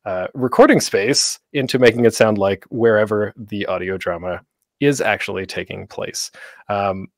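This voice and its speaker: A man's voice: mid-range voice, dry recording. A man giving an informal, conversational instructional talk as a presenter, with a self-deprecating manner.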